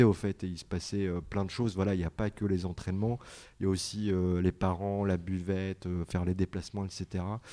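A low-pitched man with a dark, mellow voice answers audience questions about his book. He talks in halting stretches, full of fillers and restarts, as he gathers his thoughts. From France. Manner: hesitant, many fillers and restarts. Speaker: author and journalist.

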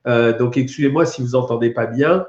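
A man's voice: mid-range voice, a little fast, darkish tone. French doctor guy with a cold, lots of euhs, echoey room, muffled lo-fi sound. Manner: chatty and explanatory, many hesitations. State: has a head cold. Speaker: doctor hosting a weight-loss live stream. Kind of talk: casual live stream talk answering viewer questions. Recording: roomy, dull lo-fi stream audio.

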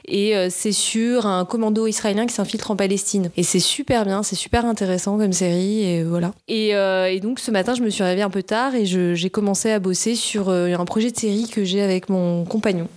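A young woman from the south of France chatting in an interview, with many pauses and restarts. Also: mid-range voice. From Nice, southern France. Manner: chatty, lots of hesitations and restarts. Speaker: screenwriter and director. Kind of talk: casual interview talk.

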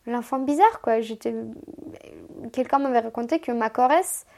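A woman's voice: on the high side, normal speed, warm tone. A woman in her twenties from Moldova, a native Romanian speaker, telling her life story casually as a podcast guest, chatty and self-mocking, restarting sentences often. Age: 20s.

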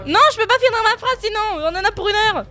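A woman's voice: very high voice, animated, quick pace, pushed and bright. A woman co-hosting a joking evening radio show, teasing her co-hosts and telling a story between laughs. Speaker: radio co-host. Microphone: studio microphone.

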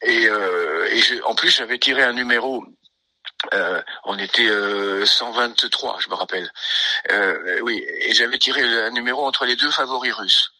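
An older man from Italy, a pianist, reminiscing in a radio interview, halting and searching for words with many fillers. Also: mid-range voice, bright, forceful tone, no room sound, low-quality recording.

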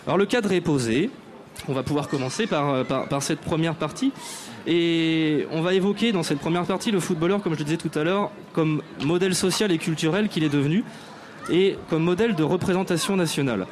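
A man's voice: a little high, a little fast, slight background noise. A man hosting a live radio show on an outdoor stage, introducing guests in a formal, playfully grand way with frequent hesitations. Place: outdoor stage at an arts venue with audience.

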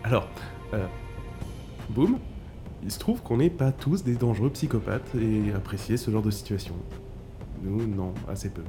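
A man's voice: on the low side, lively intonation, moderate pace, long pauses, over music, natural voice. An actor playing a sarcastic, resentful young man in a gang in an audio drama, with rambling sentences. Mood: dry, mocking.

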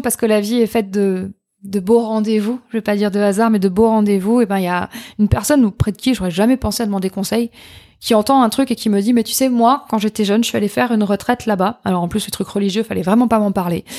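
A middle-aged woman speaks as an interview guest. Her voice sits at a medium pitch. She tells her story candidly, in informal and expressive conversational language. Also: fast. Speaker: podcast guest, coach.

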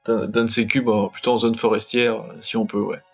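A man's voice: lowish voice, little pitch movement, fairly quick, slightly rough. Man on a dull, lo-fi voice channel. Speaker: tabletop game player. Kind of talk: casual game table talk. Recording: dull lo-fi voice channel, music behind.

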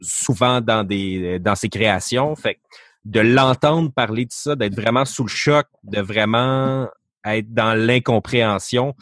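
Hesitant, low-voiced man. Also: fairly even intonation, unhurried, fairly bright tone, no room sound, clean studio sound. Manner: hesitant, many fillers and restarts. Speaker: podcast guest. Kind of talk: casual interview talk.

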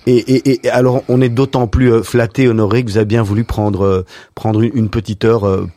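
A man's voice: lowish voice, level tone, a little fast, warm tone, no room sound. Chatty male host, dry close mic. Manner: chatty, repeats words while framing questions. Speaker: radio show host. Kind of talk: interview hosting.

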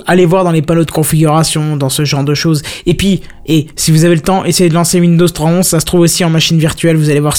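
A man's voice: on the high side, a little mellow. A man hosting a casual live tech news show, chatty, often stumbling over words and repeating himself. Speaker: podcast host.

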